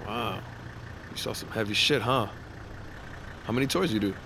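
A man's voice: fairly low pitch, moderate pace, natural voice. An actor playing a suspicious, confrontational male security guard in an audio drama, blunt and streetwise, with music and vehicle noise behind. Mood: probing. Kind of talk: audio fiction acting.

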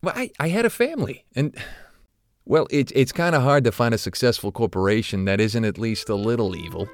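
An actor playing an older, guilt-ridden retired corporate executive who confides haltingly, as in an audio drama interview. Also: male voice, medium pitch, animated, natural voice. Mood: guilty, confiding. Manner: hesitant, confessional, halting.

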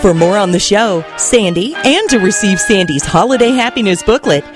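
A woman reading a scripted radio show promo in a smooth, upbeat way. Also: medium pitch, expressive, moderate pace. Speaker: announcer. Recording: promo over loud music.

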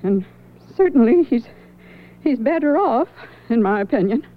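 A woman playing a prim, guarded older mother in a radio drama, speaking haltingly as if confiding. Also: fairly high pitch, animated. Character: older widowly mother, guarded, disapproving of her son. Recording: thin phone-like old radio recording.

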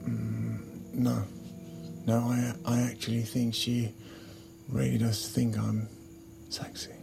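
An actor playing an insecure, pleading husband in an intimate audio drama, halting and stammering, sometimes breathy. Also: male voice, medium pitch, monotone. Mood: defensive, desperate. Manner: halting, stammering, repeats himself. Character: pleading, insecure, flustered husband in a failing marriage. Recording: music under the dialogue.

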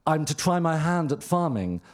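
Man reading as a polite, diffident young gentleman. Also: a little high, very animated, normal speed. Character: polite, self-conscious young English gentleman emigrant.

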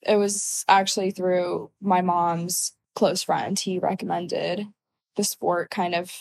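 A woman in her twenties from Ukraine, speaking in a slightly low, easy voice. She answers questions casually and loosely, with plenty of fillers. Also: takes it slowly, gravelly, a little hiss or hum. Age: 20s. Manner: relaxed, uses many fillers like "like" and "so yeah". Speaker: guest, college athlete.

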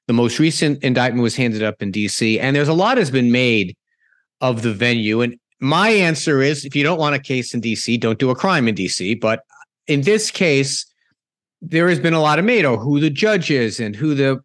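A man hosting a casual podcast interview, talking in halting bursts and restarting phrases as he thinks aloud. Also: medium pitch, a bit bright, no echo, studio quality. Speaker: podcast host.

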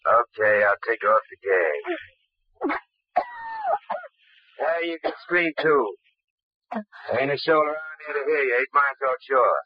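A man's voice: medium pitch, lively intonation, normal speed, background noise, natural voice. An American actor playing a menacing thug kidnapper in old-time radio crime drama, with taunting, slangy tough-guy talk. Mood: gloating. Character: sneering, menacing thug kidnapper, a butler gone bad. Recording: dull, muffled old radio recording.

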